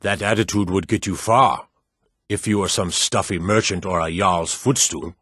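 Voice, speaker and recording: Husky, deep old fantasy blacksmith. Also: male voice, fairly even intonation, fairly bright tone, dry recording, clean studio sound. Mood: plain-spoken. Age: older. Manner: plain-spoken, measured, weighty. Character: gruff, proud old male blacksmith in a fantasy world. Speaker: video game voice actor. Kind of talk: fantasy game character dialogue.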